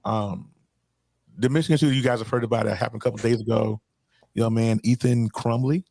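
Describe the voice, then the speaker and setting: Low gravelly guy hosting a podcast, bit sick, husky, chill and jokey. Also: steady tone, fairly quick, darkish tone, dry recording. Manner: laid-back, joking. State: under the weather. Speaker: podcast host. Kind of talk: casual podcast chat.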